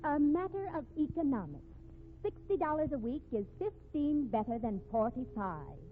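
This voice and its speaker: An indignant secretary, prim and flustered, with a high voice that swings widely in pitch. She sounds like 1950s radio drama, on a thin, tinny old recording. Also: very animated, normal speed, noisy background. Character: indignant, earnest female secretary defending herself under questioning. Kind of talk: 1950s radio mystery acting.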